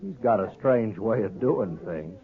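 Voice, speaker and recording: A man's voice: on the low side, expressive, unhurried. An American actor playing a sly, cold-blooded gunman in a 1950s radio Western, with a mocking drawl. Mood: defiant, scornful. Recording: muffled, narrow-band old radio recording.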